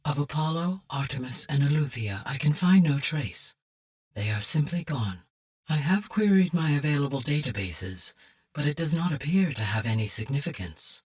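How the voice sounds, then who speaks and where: A calm, caring female artificial intelligence from a video game. Her low voice is husky and sounds slightly distant. She speaks in formal, precise sentences with measured composure. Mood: composed, measured. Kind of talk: measured, scripted game dialogue.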